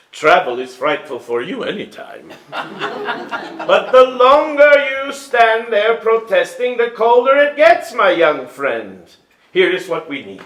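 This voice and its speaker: A man's voice: sits high, fairly bright tone, exaggerated voice. A man playing a jovial, restless king in a staged musical reading, speaking in a grand, formal, theatrical manner in a roomy live recording. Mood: amused, commanding. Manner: theatrical, grand, formal old-fashioned phrasing. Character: jovial, restless king, fond of his bumbling young page.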